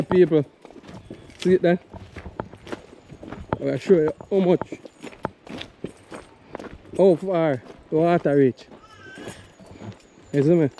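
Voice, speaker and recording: A man's voice: a little high, dark tone, slight background noise. A Jamaican man talking casually in patois as he walks through a flooded neighbourhood and questions the locals. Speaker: vlogger touring a flooded area. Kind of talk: casual walk-and-talk vlog.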